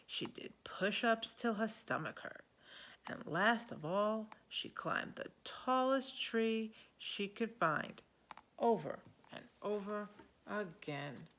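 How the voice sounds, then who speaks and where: Slow-paced woman reading a children's story. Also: medium pitch. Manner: expressive storytelling with asides to young listeners. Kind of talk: picture book read-aloud.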